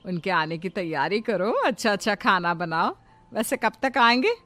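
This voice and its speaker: A woman's voice: medium pitch, animated, moderate pace, fairly bright tone, no echo, close to an everyday voice. Woman acting a practical older mother-in-law. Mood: mildly exasperated. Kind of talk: Hindi cartoon moral story acting. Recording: music behind the voice.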